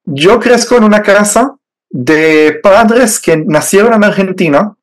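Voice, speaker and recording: A man from Israel whose first language is Hebrew, speaking in a measured, hesitant way with fillers as an interview guest telling his faith story. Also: sits high, lively intonation. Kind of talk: personal faith testimony.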